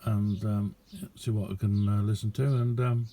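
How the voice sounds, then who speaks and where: A man's voice: lowish voice, little pitch movement, normal speed, mellow. A middle-aged man, chatting casually in a rambling, understated, self-deprecating way as he shows a hobby project. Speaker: amateur radio hobbyist showing his kit. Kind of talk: casual hands-on video chat. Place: small indoor radio room.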